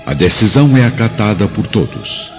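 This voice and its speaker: A man's voice: on the low side, big pitch swings, normal speed. A man giving scripted dramatic narration for a radio play. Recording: reverberant, muffled, music behind.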